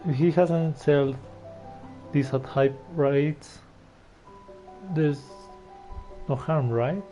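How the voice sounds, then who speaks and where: A mellow-voiced streamer talking casually over gameplay. The pace is slow and the pitch sits in the middle. Faint game music runs behind. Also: steady tone, low-quality recording.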